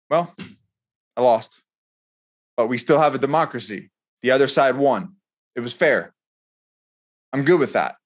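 A man speaking as a podcast guest in earnest conversation, building his points haltingly by restating them. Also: mid-range voice. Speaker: podcast guest, military veteran. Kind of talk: earnest conversational political talk.

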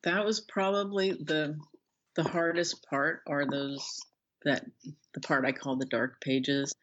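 An older woman speaking as an interview guest, thoughtful and conversational, pausing as she searches for words. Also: sits low, fairly even intonation, fairly bright tone, a little hiss or hum. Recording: slightly dull, band-limited audio.

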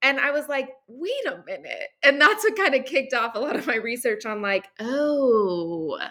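Woman, guest, bright voice, earnest casual talk, many fillers. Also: a little high, normal speed. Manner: earnest, frequent "like", animated. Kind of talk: casual conversation.

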